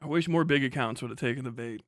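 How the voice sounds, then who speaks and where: A man's voice: mid-range voice, quick pace, no echo, clean studio sound. A man live streaming a casual rant, speaking in a profane, sarcastic, mocking way. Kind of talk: casual livestream rant and commentary.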